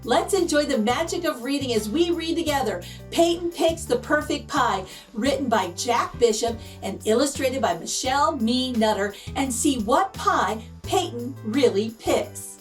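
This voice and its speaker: A woman's voice: high voice, lively intonation, measured pace, faint music behind. A woman host reading a children's storybook aloud expressively, drawing out key words for emphasis. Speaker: children's picture book read-aloud host.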